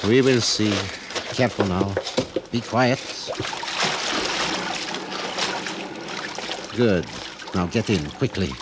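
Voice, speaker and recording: An actor playing a desperate, menacing Mexican killer on the run, with a Mexican Spanish accent and clipped threats, in old radio drama style. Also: male voice, lowish voice, measured pace, natural voice. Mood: tense, commanding. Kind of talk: 1950s radio drama acting. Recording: noisy old radio recording, water sounds.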